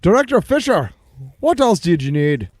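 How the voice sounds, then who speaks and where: An actor playing an eager, deferential research chief in an audio drama, explaining things precisely and proudly. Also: male voice, sits high, very animated, moderate pace, background noise. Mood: taken aback.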